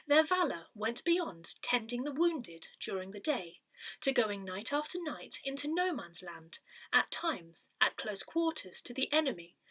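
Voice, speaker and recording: A woman with a fairly high, bright voice reads a newsletter aloud. Her delivery is scripted and measured, with clear phrasing and lively rises and falls in pitch. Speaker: reader of an audio newsletter.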